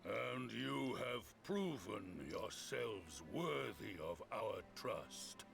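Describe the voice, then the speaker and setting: Man putting on a slow gravelly warrior chieftain. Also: lowish voice, sing-song, over music, heavily acted. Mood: proud, resolute. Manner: slow, formal, declamatory. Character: solemn, proud warrior chieftain of a beast tribe.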